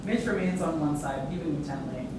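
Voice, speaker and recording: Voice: medium pitch, a little fast. Young stage directions reader, very echoey. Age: young adult. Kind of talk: scripted narration, read aloud. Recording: echoey room, distant mic, music.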